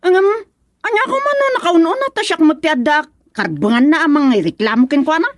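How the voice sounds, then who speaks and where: Whiny ilocano village gossip, super high and animated, radio drama, dry studio sound. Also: female voice, brisk, no room sound. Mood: aggrieved. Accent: Filipino, Ilocano. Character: excitable, gossipy village woman complaining to the chairman.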